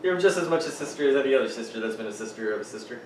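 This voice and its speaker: A man hosts a relaxed home podcast, teasing his guest and laughing loudly. His voice sits high and swings widely in pitch. He is picked up from a distance in a roomy space with a faint hiss. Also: very fast. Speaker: podcast host. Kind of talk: casual podcast chat.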